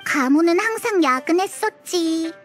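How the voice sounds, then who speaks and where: A woman's voice: very high-pitched, animated, unhurried, fairly bright tone, soft background music, exaggerated voice. A woman voicing a tiny, cheeky, childlike fairy girl in a video game, with perky, blunt speech. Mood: teasing. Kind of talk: Korean video game voice acting.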